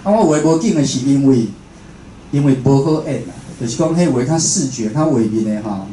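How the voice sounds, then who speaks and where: A Taiwanese man talks to an audience in an informal, conversational way. His voice is mellow and of middling pitch. He repeats phrases and puts questions to listeners, and the room adds echo. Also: animated, a little fast. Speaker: radio host and station head giving a talk. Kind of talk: informal public lecture. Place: indoor room, speaking to an audience. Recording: roomy echo, faint background noise.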